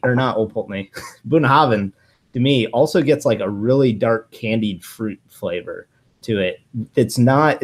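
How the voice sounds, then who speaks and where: A man in his thirties giving casual reviews as a guest on a video call from his living room. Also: medium pitch. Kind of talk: casual chat.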